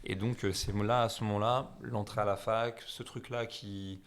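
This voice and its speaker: A man from France in his thirties talks loosely through a long interview answer, full of fillers and wry self-mockery. His voice sits low with a gravelly edge. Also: fairly even intonation, moderate pace, background noise. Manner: rambling, self-deprecating, many fillers. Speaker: guest. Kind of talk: casual conversational interview.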